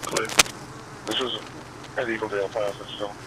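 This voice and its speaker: Male police dispatcher, clipped and procedural, fairly low pitch, heard on a scanner under heavy buzzing noise. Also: flat delivery, moderate pace. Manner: terse, procedural, spells with phonetic letters. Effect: police scanner radio. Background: bees buzzing at outdoor hive.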